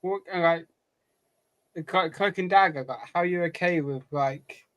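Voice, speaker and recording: A man's voice: on the high side, moderate pace, fairly bright tone, poor audio. A man co-hosting a podcast, casually chatting in a pop culture review discussion. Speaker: podcast co-host.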